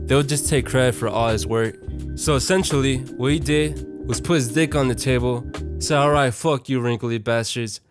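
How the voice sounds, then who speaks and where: A young man narrating a casual, opinionated video essay in a slangy, profane and irreverent manner. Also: medium pitch. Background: music.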